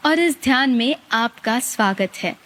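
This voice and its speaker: Female meditation guide. Slightly high register, markedly unhurried, calm and gently instructive with lots of space between phrases.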